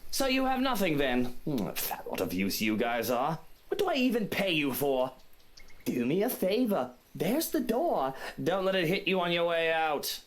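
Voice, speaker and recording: An actor voicing an irritable, wealthy young mansion master in a visual novel, sarcastic and dismissive. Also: male voice, on the high side, big pitch swings, moderate pace. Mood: scornful.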